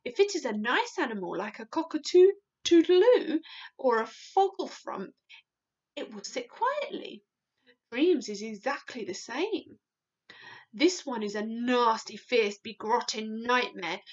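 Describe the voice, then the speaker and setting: Woman doing a big gentle giant, excitable, loud outbursts, word-mangling, swooping voice, muffled. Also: a little high, measured pace. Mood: explaining. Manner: shouting, frantic outbursts. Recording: muffled lo-fi sound.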